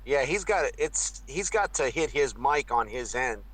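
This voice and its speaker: A man in a casual online voice chat stammers and jumps in to get a word in. His voice sits at an ordinary middle pitch. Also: expressive, moderate pace, fairly bright tone, a little hiss or hum, poor audio. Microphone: computer mic in a voice chat.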